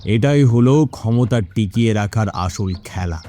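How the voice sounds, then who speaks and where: A man playing a greedy, scheming, cruel village boss in an animated moral story, speaking in a smug, gloating, deliberate manner. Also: on the low side, a little hiss or hum, lightly acted. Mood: smug, calculating.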